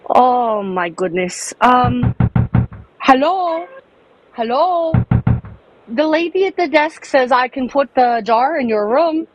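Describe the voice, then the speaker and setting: A woman making a prank phone call, speaking haltingly and apologizing often. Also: high-pitched, lively intonation, noisy background. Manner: halting, overly polite and apologetic. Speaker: prank caller.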